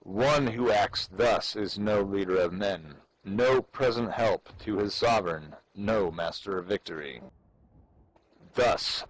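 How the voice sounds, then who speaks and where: A man giving a theatrical, portentous dramatic reading of an ancient military treatise, with playful asides. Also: deep voice. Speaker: amateur reader performing for a live audience of gamers. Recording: clearly noisy background.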